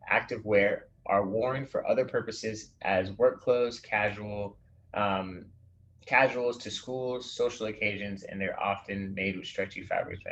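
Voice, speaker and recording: A male design instructor with a somewhat low voice talks through a class project in a recorded lecture. He explains at an easy pace and reads an example text aloud, restarting a word now and then. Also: muffled recording.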